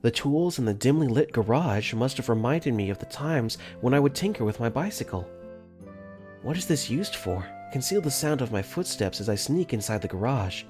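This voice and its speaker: A man's voice: medium pitch, animated, moderate pace, music in the background. A man narrating a visual novel fan dub in first person, earnest and easily flustered.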